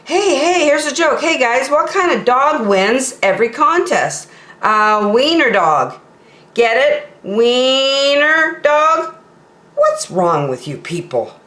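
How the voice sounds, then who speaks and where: A woman's voice: sits high, big pitch swings, takes it slowly, fairly bright tone, roomy sound, voice pushed up, exaggerated voice. A woman voicing a proud, jokey, sloppy young boy from a children's storybook in a playful, eager manner.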